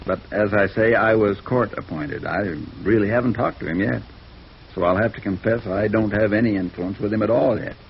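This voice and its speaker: An actor playing a tired, amiable, seedy lawyer of about forty in an old American radio drama, folksy and rambling. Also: male voice, fairly low pitch, brisk, vocal fry. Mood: easygoing, musing. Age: 40s. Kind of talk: 1950s radio drama acting. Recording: muffled, noisy vintage radio recording.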